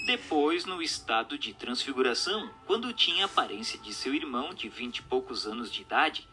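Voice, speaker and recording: A man's voice: on the high side, sharp tone. A man with a Brazilian accent reading scripted book narration as a narrator.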